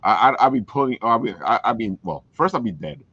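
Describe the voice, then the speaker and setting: A man hosting a casual chat show in an excitable, folksy way, addressing listeners directly. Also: mid-range voice, a little fast, dry recording. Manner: excitable, talks to listeners as folks, laughs often. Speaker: podcast host. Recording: dull lo-fi call audio.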